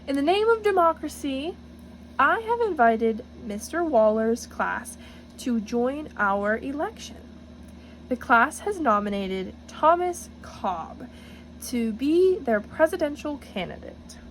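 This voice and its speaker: A woman's voice: sits high, lively intonation, slow pace, slight background noise, exaggerated voice, poor audio. Female schoolteacher as a woman narrator reads her, storybook medium, encouraging announcer manner, very varied intonation. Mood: announcing.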